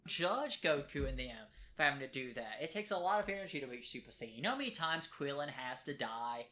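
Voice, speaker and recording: A man's voice: mid-range voice, expressive, a little fast, bright, forceful tone. A man co-hosting a casual podcast from a kitchen table at home, talking eagerly and rambling a bit. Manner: enthusiastic, rambling, repeats words. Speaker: podcast co-host. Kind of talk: casual chat.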